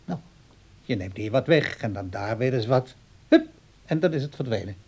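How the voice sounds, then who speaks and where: An actor playing an aging father in a radio play, sly and aggrieved, with a husky, understated delivery. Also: medium pitch, expressive, normal speed, darkish tone. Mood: hesitant, wistful.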